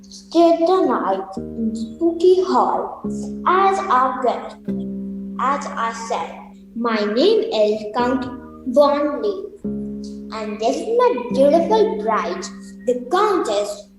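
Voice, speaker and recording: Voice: sits high, lively intonation, extremely slow, heavily acted. An actor playing a suave, menacing vampire count with a grandly courteous manner in a radio play. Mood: suave, ominous. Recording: heavy echo with music behind.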